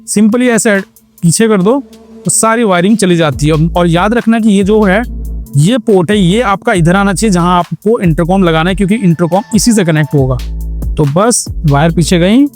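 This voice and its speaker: A man's voice: sits high, moderate pace, darkish tone, soft background music. A man narrating a casual hands-on how-to as a vlog host, explaining step by step and mixing in English words. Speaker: motorcycle vlogger and tutorial host.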